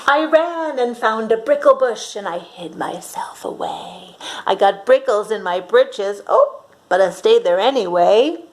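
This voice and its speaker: A woman reading a storybook aloud to young children in an expressive, dramatic way, pausing to ask her listeners questions. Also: fairly high pitch, poor audio.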